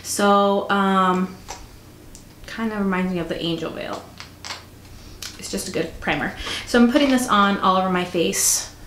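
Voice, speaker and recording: A woman with a cold talking casually through a makeup review, chatty and soft-spoken, sometimes close to a whisper. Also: mid-range voice, a little slow, pauses often. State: stuffy, fighting a cold. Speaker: beauty vlogger. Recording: echoey room, faint noise.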